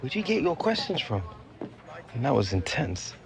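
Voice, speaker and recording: A man's voice: mid-range voice, sing-song, normal speed, creaky. A man playing a young, confident English footballer in a naturalistic game cutscene. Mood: casual, defensive. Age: young adult.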